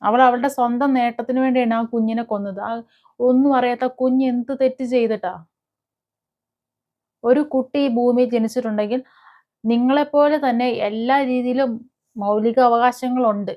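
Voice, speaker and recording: A woman with a fairly high voice gives casual, opinionated commentary as the host of an online video. She speaks haltingly, with small hums between phrases and pointed rhetorical questions. The sound is somewhat dull and lo-fi. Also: steady tone.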